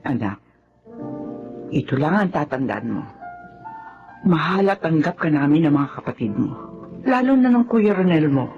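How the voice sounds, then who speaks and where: A woman's voice: low voice, big pitch swings, normal speed, pauses often, narrow, tinny audio, soft background music. An actress playing a loving middle-aged mother who speaks tenderly and reassuringly. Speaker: mother in a radio drama. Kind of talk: radio drama acting.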